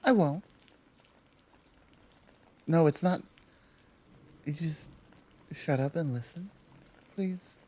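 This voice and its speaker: A woman playing a tall, powerful, protective Amazon in an intimate ASMR roleplay, talking softly and teasingly with halting pauses. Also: low-pitched, slightly rough, heavily acted. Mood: firm, teasing.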